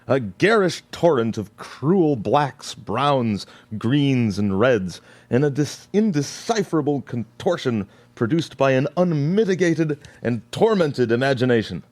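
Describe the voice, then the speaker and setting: An actor as narrator of a live radio play, setting the scene in a measured, emphatic way. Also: male voice, mid-range voice, very animated, darkish tone. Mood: dramatic.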